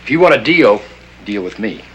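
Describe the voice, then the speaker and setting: A low male voice as a masked vigilante who passes for a crime boss. He is clipped, controlled and coolly threatening in 1960s television crime style. The old soundtrack carries hum and noise. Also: sing-song, normal speed, a bit bright, lo-fi sound. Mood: controlled, probing. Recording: humming, noisy old TV soundtrack.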